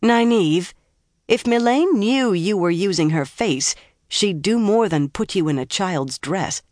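A woman's voice: on the low side, very animated, moderate pace, no room sound, clean studio sound. Woman acting a stern, scolding young woman. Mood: mock warning. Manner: sharp, firm, reproving.

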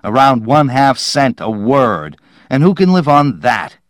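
Irritable male writer, played by a male narrator: scornful, dismissive, forceful emphasis, audiobook storytelling. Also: low voice, measured pace, no echo, clean studio sound. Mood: scornful rant. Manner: emphatic, disgusted ranting.

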